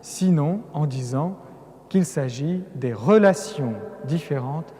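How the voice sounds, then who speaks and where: A man who is not a native French speaker gives an earnest Lenten conference talk, stacking phrases into emphatic build-ups and restarting words now and then. His voice is fairly high and mellow. A long cathedral reverb trails behind every phrase. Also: lively intonation, takes it slowly. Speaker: lecturing cleric. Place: large cathedral.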